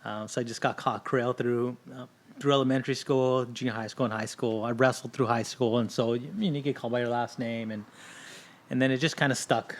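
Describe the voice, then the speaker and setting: A man's voice: medium pitch, fairly even intonation, fairly quick. A middle-aged man speaks in an everyday mid-range voice, swapping stories on a podcast. His first language is Spanish. He talks candidly and keeps tacking "right?" onto his sentences. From Southern California. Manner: candid storytelling, frequent "right?" tag questions. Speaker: guest. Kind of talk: casual podcast conversation.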